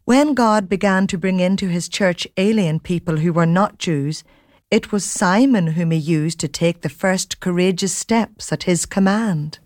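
An actress as Peter's wife, a calm narrator. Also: female voice, fairly low pitch, dry recording, studio quality, lightly acted. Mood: reflective. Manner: calm, measured storytelling. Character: Peter's wife, a woman recounting events. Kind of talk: first-person scripted narration.

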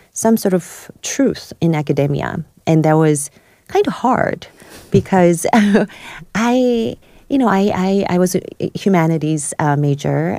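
A woman from South Korea speaks as a studio interview guest, her voice low and mellow. She tells her story in a halting way, full of ums and restarts. The sound is dry and close. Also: gravelly, no room sound. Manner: hesitant, many fillers and restarts. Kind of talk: podcast interview, personal story.

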